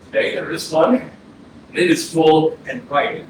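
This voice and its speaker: A man playing the wistful ghost of a fourteen-year-old boy in a staged reading, halting and poetic with pauses. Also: a little high, fairly even intonation, natural voice. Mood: dreamy, foreboding. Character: dead fourteen-year-old boy's ghost, dreamy, wistful would-be filmmaker. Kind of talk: staged reading of a play, monologue. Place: indoor arts center stage, recorded from afar. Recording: very echoey, distant hall, clear noise, processed.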